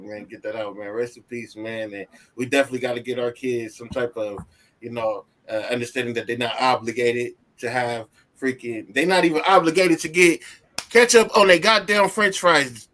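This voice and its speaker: A man's voice: a little high, pushed and bright. A man in his thirties talking casually on a live stream, emphatic and preachy, ending lines with "man". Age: 30s. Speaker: guest.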